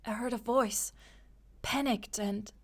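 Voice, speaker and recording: A woman's voice: on the high side, steady tone, slow pace. A woman giving suspenseful, atmospheric first-person narration of a visual novel, acting as a college student. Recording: loud music under the voice. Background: prominent background music.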